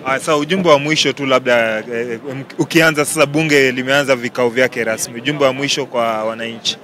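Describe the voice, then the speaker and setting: A man's voice: mid-range voice, fairly even intonation, brisk, pushed and bright, a little hiss or hum. A man, a reporter putting questions to a lawmaker outdoors. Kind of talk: interview questions. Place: outdoors on a city street.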